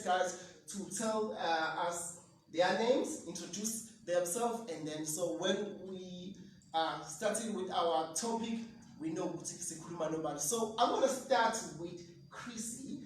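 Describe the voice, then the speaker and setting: A man's voice: high-pitched, moderate pace. Hyped South African man hosting, echoey room. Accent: South African, Zulu-speaking. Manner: energetic, hypey, switches into Zulu. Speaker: host. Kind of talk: lively online talk show hosting. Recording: echoey room, mic at a distance, light noise.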